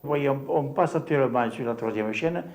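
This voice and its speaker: A man's voice: mid-range voice, fairly quick, darkish tone. Male interviewee, southern French accent, emphatic and insistent. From southern France. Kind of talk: impassioned interview answer.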